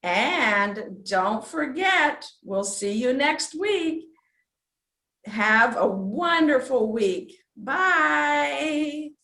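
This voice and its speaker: A woman's voice: high voice, expressive, drawn-out words, pushed and bright. A woman hosting a children's storytime, speaking in an encouraging, effusive way to guests and young viewers. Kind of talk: host interview and sign-off to children. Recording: echoey room.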